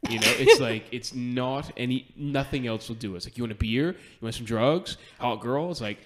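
A man's voice: mid-range voice, a little fast. A man in his twenties hosting a casual podcast, rambling and laughing, often asking "you know what I mean". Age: 20s. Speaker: podcast host.

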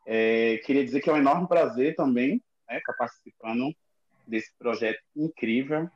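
A man's voice: mid-range voice, moderate pace. A man with a Bahian Brazilian accent, casually introducing himself as a guest dancer. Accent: Bahian Brazilian Portuguese. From Lauro de Freitas, Bahia, Brazil. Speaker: guest, dancer and company director. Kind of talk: casual spoken introduction in an online conversation. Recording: dull lo-fi online audio.

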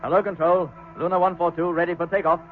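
An actor playing a cool, commanding spaceship captain, clipped and authoritative, in 1950s radio drama style. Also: male voice, high voice, flat delivery, quick pace, natural voice. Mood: calm command. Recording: tinny vintage radio, clear noise.

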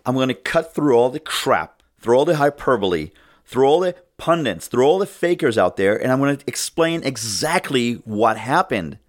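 A man hosting his own political podcast, talking loudly and emphatically in a ranting monologue. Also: a little high. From United States. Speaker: podcast host.

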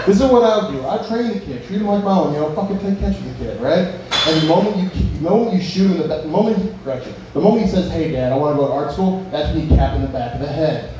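A man's voice: fairly high pitch, animated, racing, muffled recording. A young man doing a stand-up set at a bar open mic, working the crowd in a crude, rambling, self-mocking way. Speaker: stand-up comedian. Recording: echoey bar from far off, noisy.

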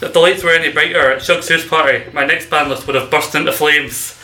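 A man hosting a podcast with a very bright, forceful voice, joking and teasing as he introduces his co-hosts and tells the story. The sound is roomy and echoey. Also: a little high, fairly even intonation, brisk, slight background noise. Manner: jokey, teasing introductions, often laughing. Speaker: podcast host. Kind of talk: comedic wrestling podcast hosting. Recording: echoey room.

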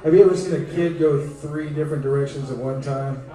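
A man co-hosting a rowdy comedy podcast, telling crude stories loudly. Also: a little high, fairly even intonation, fairly quick. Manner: loud, profane joking storyteller. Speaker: podcast co-host. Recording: echoey room, mic far off, crowd behind.

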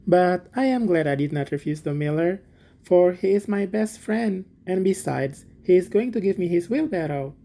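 A man's voice: high-pitched, animated, normal speed, warm tone, voice pushed up. A man voicing a timid, naive little gardener in a storybook, shy and eager to please. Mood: trusting. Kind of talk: storybook character voice.

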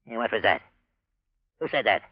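An actor playing a gloating, crooked old miser who is jumpy and blustering, in 1940s radio drama style. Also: male voice, lowish voice, animated, moderate pace. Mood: alarmed. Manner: muttering and chuckling to himself, blustering when challenged. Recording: muffled old radio recording.

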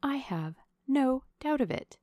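An eager young lady, played by a woman narrator, speaks in a high, impulsive voice. She sounds ardent and breathy, in a dry audiobook reading. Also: very animated, unhurried, mellow, no room sound. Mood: conspiratorial.

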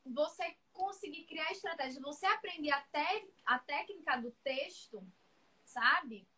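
A woman's voice: high-pitched, measured pace, bright, forceful tone. A woman teaching essay writing on a live stream, chatty and reassuring, calling viewers by name. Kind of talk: live-streamed class, casual chat.

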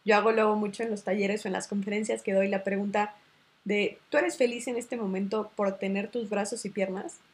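A woman's voice: mid-range voice, fairly even intonation, a little fast. Woman hosting a reflective podcast chat. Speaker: podcast host. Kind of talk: casual interview.

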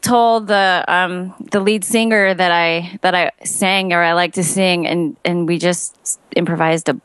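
A woman's voice: fairly low pitch, steady tone, measured pace, a bit bright, clean tone, no echo. A woman from Romania who grew up in Chicago, a musician guest chatting in a friendly, easygoing way. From Romania, raised in Chicago. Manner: friendly, chatty, laughs easily. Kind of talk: casual interview.

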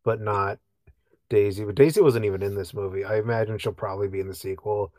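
A man's voice: fairly low pitch, steady tone, fast talker, a little mellow, no room sound, clean studio sound. A man co-hosting a podcast in casual movie chat, conversational and loose, peppering his sentences with filler words like "like". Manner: conversational, peppers talk with "like" and hedging. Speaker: podcast co-host.

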